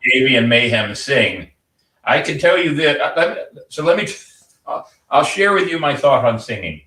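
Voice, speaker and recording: A man's voice: mid-range voice, big pitch swings, moderate pace, pushed and bright, recorded from a distance. A man hosting a podcast, talking energetically and rambling, laughing often. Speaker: podcast host. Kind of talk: casual podcast chat. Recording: roomy, off-mic.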